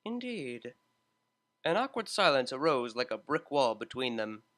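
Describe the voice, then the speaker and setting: A narrator reading fan fiction with steady storytelling pacing and plenty of lively rise and fall. The delivery stays even and attentive to the prose. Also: medium pitch, moderate pace. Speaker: audiobook narrator.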